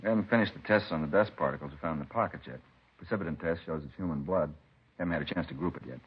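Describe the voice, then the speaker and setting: A man's voice: very deep voice, racing, slightly rough. An actor playing a methodical police crime lab man in a vintage radio drama, laconic and matter-of-fact. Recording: muffled vintage radio recording.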